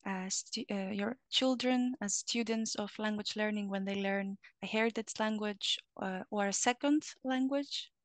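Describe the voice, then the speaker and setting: Woman hosting the q&a on a video call, mid pitch, dry sound, lots of ums. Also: measured pace, hardly any pauses, no echo. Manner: reads questions with fillers. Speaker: moderator. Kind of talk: moderating an online Q&A.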